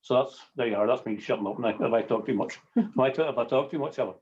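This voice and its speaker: Northern Irish man, mid-range voice, halting informal sharing, repeats himself, slightly dull video-call sound. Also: medium pitch, a little mellow. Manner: halting, repeating words, tagging phrases with everyday sayings. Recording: muffled video call audio.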